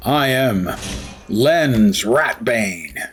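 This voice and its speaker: A man's voice: mid-range voice, animated, extremely slow, a little hiss or hum. Rambling man streaming a game. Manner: rambling, self-mocking. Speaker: livestreamer. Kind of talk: casual gameplay chatter.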